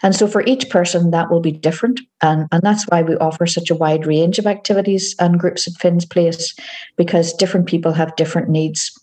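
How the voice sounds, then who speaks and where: Northern irish lady, low mellow voice, calm and steady, explaining things in an interview. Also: brisk. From Northern Ireland. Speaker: guest, community organisation director. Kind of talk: podcast interview answers.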